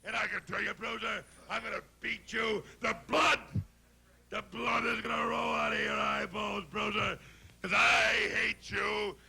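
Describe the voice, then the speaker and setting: Male wrestler promo: extremely raspy, forceful, high-strung, menacing and repetitive. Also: flat delivery, measured pace, poor audio. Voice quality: gravelly, rasping. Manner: menacing, repetitive, worked-up shouting threats. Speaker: professional wrestler.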